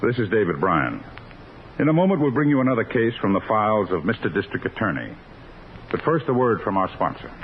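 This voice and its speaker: A man's voice: lowish voice, sing-song, brisk, background noise. An American man playing a resolute district attorney who narrates a 1950s radio crime drama in a solemn, declamatory manner. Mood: formal. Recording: muffled vintage radio recording, loud music behind.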